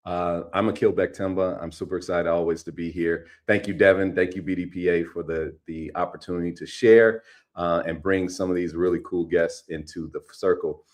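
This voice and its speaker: A deep-voiced man from California introducing a guest on an online talk. He is enthusiastic and energetic, often doubling his words. His pitch sits very low. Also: moderate pace. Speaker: co-host, interviewer. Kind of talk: live online interview.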